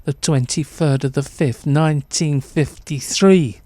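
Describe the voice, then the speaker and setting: A man's voice: fairly high pitch, a little slow, mellow, no room sound. A man narrating a suspenseful short story in the second person, with theatrical delivery.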